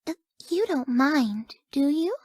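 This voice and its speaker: A woman voicing a sweet, sleepy, scatterbrained young woman in a video game, with a soft, airy, naive delivery. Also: high-pitched, lively intonation, slow pace, dry recording, studio quality. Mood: dreamy, affectionate. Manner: soft, airy, gentle, often dropping to breathy near-whispers. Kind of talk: video game character voice lines.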